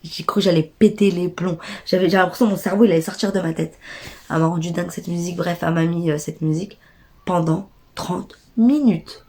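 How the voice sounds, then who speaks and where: A young woman's voice, slightly low for a woman and audibly stuffed up from a cold. She rants in loose street slang and speaks directly to her viewers, indignant and exasperated. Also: steady tone, fairly quick, a little mellow. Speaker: vlogger. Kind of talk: casual storytime rant.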